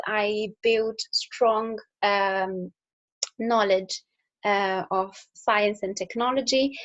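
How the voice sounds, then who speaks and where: Young Italian-accented woman, slightly high voice. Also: lo-fi sound. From Italy. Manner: casual, friendly, with fillers and restarts. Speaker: engineer. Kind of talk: recorded talk to school pupils.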